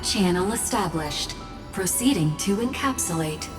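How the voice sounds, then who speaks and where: A woman's voice: lowish voice, lively intonation, a little slow, roomy sound, distant mic, over loud music. A woman voicing an impassive machine system in a game, giving procedural announcements in clipped, impersonal phrases.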